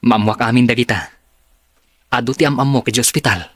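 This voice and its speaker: A man's voice: medium pitch, brisk, fairly bright tone, dry recording, studio quality, natural voice. A man acting an inquisitive, skeptical young man in an Ilocano audio drama. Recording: background music and crowd sounds.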